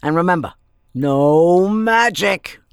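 An actress playing a bossy, fretful fairy aunt in a fairytale audio drama, worrying and insistent. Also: female voice, lowish voice, expressive, slow pace, slight background noise. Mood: worried, commanding.

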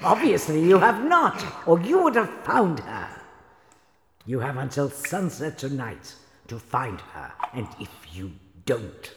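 An actress playing an imperious, cruel sorceress in a fairytale audio drama, commanding and threatening. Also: female voice, sits low, big pitch swings, a little slow, exaggerated voice. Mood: menacing, cold. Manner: commanding, menacing, dropping to hisses then shouting. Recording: echoey hall reverb with background music.

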